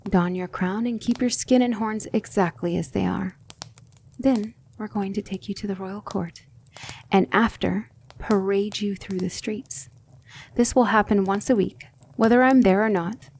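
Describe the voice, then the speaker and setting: A woman playing a gentle but firm demon tutor in an ASMR roleplay, speaking in a soothing, measured and formal way. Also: medium pitch, a little hiss or hum, lightly acted.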